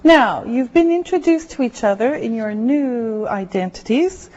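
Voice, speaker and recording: A woman English teacher speaks slowly and deliberately, her voice sitting a little high. She gives clear, careful directions to a classroom of learners. The recording is muffled and lo-fi. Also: animated, a little mellow. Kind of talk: classroom instruction.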